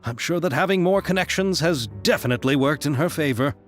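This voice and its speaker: A man's voice: a little high, quick pace, soft background music, natural voice. A man playing an earnest, thoughtful filmmaker in a video game, articulate and explanatory. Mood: sympathetic, reflective. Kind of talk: video game character dialogue.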